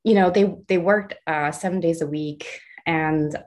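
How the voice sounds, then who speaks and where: A woman's voice: low voice, fairly even intonation, unhurried, darkish tone. A young adult woman from the Los Angeles area, an artist chatting as an interview guest, laughing often and restarting her sentences. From Los Angeles area, USA. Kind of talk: casual interview conversation.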